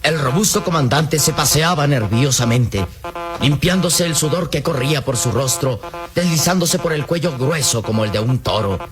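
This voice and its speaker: A man narrating a radio serial in a solemn, measured, suspenseful and dramatic scripted style. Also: fairly high pitch, expressive, music in the background.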